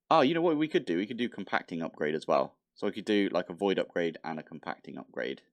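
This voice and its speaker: Guy chatting over his minecraft run, deep voice but bouncy, bit stuffy nosed, grumbles at stuff. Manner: chatty, thinking aloud, mild exasperation. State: slightly blocked nose. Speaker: modded Minecraft let's play host. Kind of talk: casual gameplay commentary.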